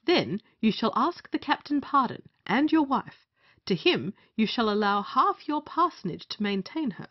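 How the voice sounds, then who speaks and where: A woman's voice: fairly high pitch, sing-song, normal speed. A woman playing a bossy, scheming male justice of the peace in an old stage comedy, theatrical and commanding. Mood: stern, scheming. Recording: muffled lo-fi audio.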